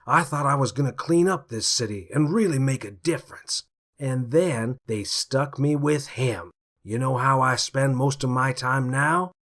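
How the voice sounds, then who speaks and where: A man's voice: mid-range voice, a little slow, a bit bright. A man voicing a weary, put-upon alpaca police officer in a read-aloud story, talking in a dry, exasperated way. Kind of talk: storybook character voice.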